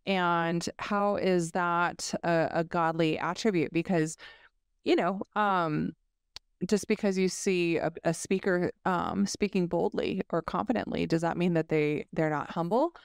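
A woman's voice: lowish voice, fairly even intonation, a little slow, clean tone, no room sound, studio quality. Chatty woman hosting a church study. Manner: rambling, many fillers and restarts, laughs easily. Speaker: women's study co-host. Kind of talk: casual faith discussion.

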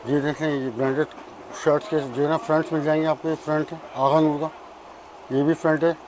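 Fast-talking shopkeeper man with a Karachi Urdu accent. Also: fairly high pitch, little pitch movement, background noise. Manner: matter-of-fact, lists items and prices. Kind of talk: explaining goods to a vlogger.

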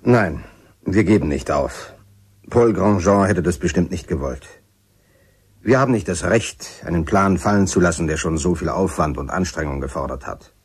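A man's voice: sits low, animated, normal speed, slightly rough. A man playing a resolute expedition leader in a radio play, firm and earnest. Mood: resolute, sombre. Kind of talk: radio drama acting.

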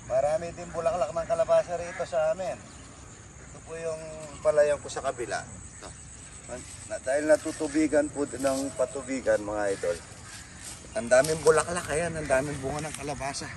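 A man's voice: fairly high pitch, normal speed. A middle-aged man with a Kapampangan accent touring his farm outdoors in a casual vlog, chatting to viewers as he walks. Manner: chatty, keeps calling viewers idol. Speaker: vlogger showing his farm. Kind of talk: casual walk-and-talk vlog. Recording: loud outdoor noise.

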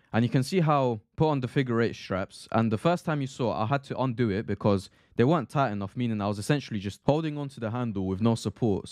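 A man's voice: medium pitch, darkish tone, no room sound, studio quality. A young man narrating his own gym vlog, explaining training in an earnest, instructive way and repeating phrases for emphasis. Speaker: fitness vlogger.

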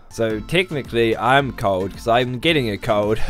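Stuffy-nosed young kiwi guy, lively. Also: medium pitch, takes it slowly, soft background music. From New Zealand. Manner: excitable, exclaiming, laughing. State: nasal from a cold. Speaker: reaction video host. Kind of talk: casual reaction vlog. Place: small bedroom studio with shelves of figurines. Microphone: studio condenser mic on boom arm, headphones on.

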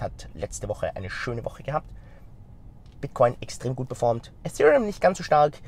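A middle-aged man with a slight stuffy cold, talking straight to camera from inside a car, energetic and gesturing as he goes. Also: mid-range voice. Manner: energetic, gesturing with his hands, talks straight to the viewer. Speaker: vlogger and company founder. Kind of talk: casual talk to camera. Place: inside a car in city traffic. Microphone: phone camera mounted in the car.

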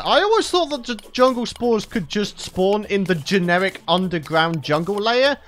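A man's voice: sits high, a bit bright. A young man, a regular gaming channel host, chatting cheerfully through a let's play and often laughing, his voice tired from recording late at night. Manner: chatty, upbeat, laughs often, talks to viewers.

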